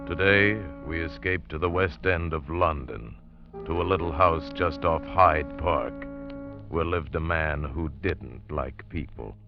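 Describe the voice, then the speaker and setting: A man's voice: rumbling low, unhurried, vocal fry. A male announcer giving a portentous, dramatic introduction to a radio play. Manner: ominous, portentous. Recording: dull 1940s radio broadcast with music.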